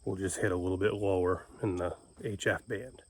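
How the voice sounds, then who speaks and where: Low-pitched, folksy man explaining casually. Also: steady tone, a little fast, husky. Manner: folksy, plain-spoken, informal. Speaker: homestead video host and ham radio operator.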